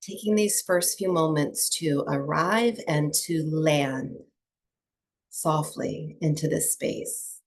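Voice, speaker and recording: A woman teaching breathwork and meditation to a small group, speaking softly and soothingly with long pauses between phrases. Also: low-pitched. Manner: soft, unhurried, soothing delivery with long pauses.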